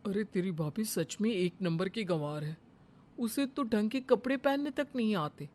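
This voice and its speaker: A woman's voice: mid-range voice, animated, moderate pace, a little mellow, natural voice. A woman voicing a gossipy neighbourhood woman in a cartoon story, mocking and complaining.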